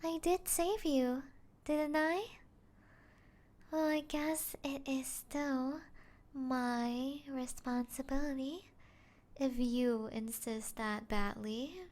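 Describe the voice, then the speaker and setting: Woman acting a slow, high-voiced seductive vampire woman. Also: fairly even intonation. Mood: teasing, possessive. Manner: breathy teasing, often dropping into whispers, soft laughs. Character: seductive, teasing female vampire toying with a hunter. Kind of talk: ASMR roleplay, intimate scripted acting.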